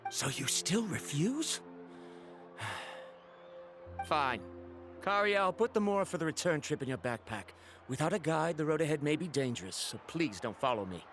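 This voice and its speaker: Stiff formal scholar in a game, highish animated voice, stubborn, measured, music under. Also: male voice. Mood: resigned. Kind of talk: video game voice acting.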